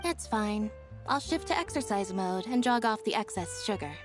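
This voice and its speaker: A woman playing an aloof young female magician's assistant in a video game, with a flat, deadpan manner. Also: mid-range voice, moderate pace, music in the background, close to an everyday voice. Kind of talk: English video game voice acting.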